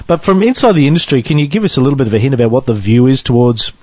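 A man's voice: mid-range voice, sing-song, rapid-fire, a little mellow, husky, no echo, muffled recording. A man with an Australian accent hosting a podcast, asking direct, blunt questions in a casual chat. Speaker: podcast host.

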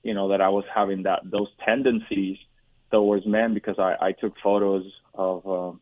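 A man from Puerto Rico in his forties, a guest telling his personal story in an interview, talking haltingly with frequent restarts and fillers. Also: sits low, little pitch movement, dry recording. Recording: dry, tinny phone-like line.

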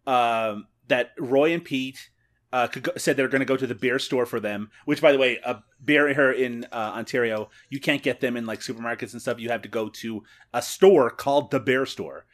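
A man's voice: mid-range voice, normal speed. A Canadian man co-hosting a podcast, recapping a movie in casual chat and laughing often. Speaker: podcast co-host.

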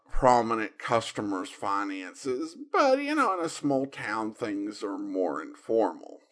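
A man hosting a podcast, giving casual commentary on old radio shows in a halting, conversational way with emphatic swings. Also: mid-range voice. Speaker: podcast host.

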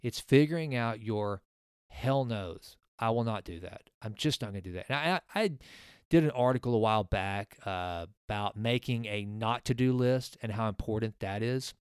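A man's voice: on the low side, moderate pace, smooth, dry recording, studio quality. A man in his forties hosting his own podcast, talking earnestly in a rambling way with many restarts. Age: 40s. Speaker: podcast host. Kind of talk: casual solo podcast talk with a sponsor read.

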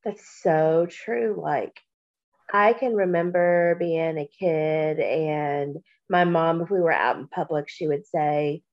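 A woman from Kentucky co-hosting a podcast, talking slowly and reflectively, with a stuffy nose from allergies. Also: deep voice, muffled recording. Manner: slow, warm, reflective, with many pauses and fillers. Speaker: podcast co-host. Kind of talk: casual podcast chat.

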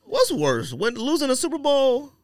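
A man's voice: very high-pitched, huge pitch swings, moderate pace, a little mellow, no room sound, studio quality. A man chatting casually about sports as a co-host, in a conversational, musing way.

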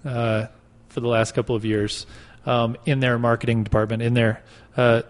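A man's voice: mid-range voice, flat delivery, normal speed. A man hosting a casual podcast in a wry, joking way. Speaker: podcast host. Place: marble boardroom in a clock tower. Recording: echoey marble room, long reverb.

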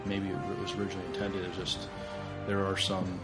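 A man's voice: low voice, monotone, brisk, loud music behind the voice. A man co-hosting a podcast, chatting casually and often hesitating as he searches for words. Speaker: podcast co-host. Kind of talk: casual, unscripted discussion.

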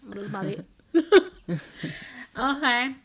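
A woman's voice: a little high, expressive, slow, fairly bright tone, husky. A young adult woman co-hosting a podcast, chatting casually, rambling and correcting herself with frequent giggles. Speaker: podcast co-host. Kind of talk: casual chat.

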